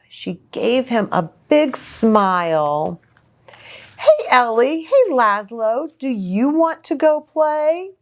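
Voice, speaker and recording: Woman reading slowly and expressively to kids. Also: medium pitch. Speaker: children's story reader, church pastor. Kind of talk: reading a picture book aloud to children.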